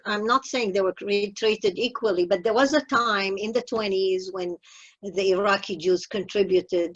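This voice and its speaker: An older woman from Iraq recalling her life in an unscripted discussion, animated, with hesitant phrasing. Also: medium pitch, highs cut off. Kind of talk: unscripted personal recollection in discussion.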